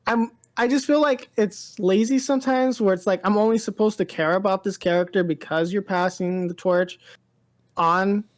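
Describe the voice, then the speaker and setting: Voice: medium pitch, steady tone, normal speed. A podcast co-host chatting casually about pop culture, rambling and often repeating phrases.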